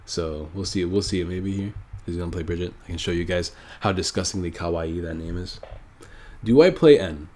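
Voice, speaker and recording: A man's voice: deep voice, brisk, darkish tone, slight background noise. A man with a stuffy, nasal voice from a cold, casually thinking aloud while commenting on his own card game match. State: sick, stuffy nose. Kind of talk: live gameplay commentary.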